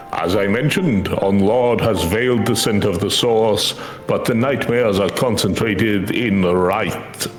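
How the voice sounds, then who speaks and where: An echoey, low voice gives life to an earnest magical familiar , acted out by a man. The speech is formal, eloquent and measured, as in fantasy game dialogue. Soft music plays underneath. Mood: earnest, urgent. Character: courteous, earnest male magical familiar who hunts nightmares. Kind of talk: video game quest dialogue read aloud.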